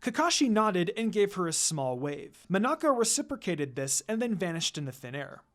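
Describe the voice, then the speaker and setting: A woman's voice: on the low side, very animated, normal speed. A woman narrator reading scripted fan fiction aloud.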